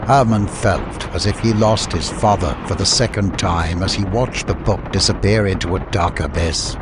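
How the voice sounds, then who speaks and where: Male audiobook narrator: lower register, dramatic scripted storytelling, clear ambient noise underneath. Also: moderate pace.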